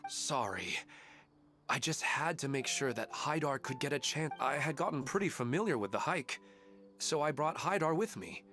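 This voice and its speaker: A man's voice: on the high side, animated, normal speed, bright, forceful tone, soft background music. An actor playing an earnest, polite, wistful husband and father in an anime-style video game. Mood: reassuring.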